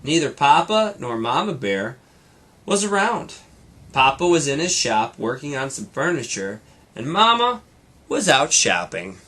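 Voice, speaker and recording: A man's voice: mid-range voice, very animated, a little slow, pushed and bright. A man, a teacher, reading a children's storybook aloud to students with casual little asides.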